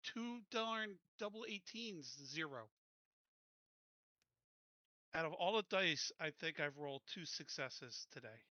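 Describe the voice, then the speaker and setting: A man's voice: a little high, expressive, slow, long pauses, pushed and bright, no echo. A man chatting casually as a player in an online tabletop game. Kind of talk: casual chat. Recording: dry, slightly dull audio.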